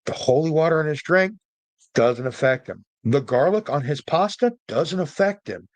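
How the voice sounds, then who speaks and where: A man's voice: on the high side, animated, normal speed, no echo. A middle-aged man hosting a storytelling podcast, explaining things in a casual, opinionated, informal monologue. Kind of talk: casual explanatory monologue about films and folklore.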